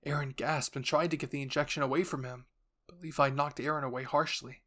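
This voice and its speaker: A male narrator with a fairly high voice delivers a scripted dramatic story. He addresses the listener in the second person, as in a roleplay. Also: fairly even intonation, fairly quick, fairly bright tone. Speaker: narrator and voice actor.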